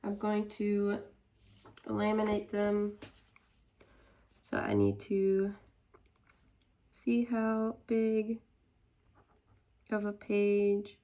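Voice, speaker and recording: A woman narrating her small business vlog, stuffy and congested, walking through her work in a soft, unhurried way. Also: medium pitch. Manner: slow, soft-spoken walkthrough.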